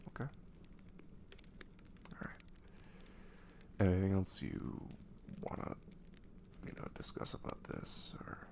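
A man playing a gentle, caring boyfriend in an intimate ASMR roleplay, speaking softly and breathily, often half-whispering, with casual, hesitant reassurance. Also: low voice, fairly even intonation, takes it slowly, gravelly, pauses often, heavily acted. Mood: tender, reassuring. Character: caring, gentle young boyfriend comforting his girlfriend.

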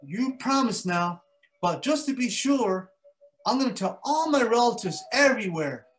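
A man's voice: extremely high pitch, animated, normal speed, a little hiss or hum, pitched above the performer's own voice. A man voicing a tiny, bold male mosquito who sternly scolds a bully in a spoken folk tale.

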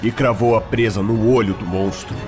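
A deep-voiced Brazilian man narrates dramatic fantasy fiction. He tells the story solemnly and theatrically, with loud music running behind his voice. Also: fairly even intonation, normal speed.

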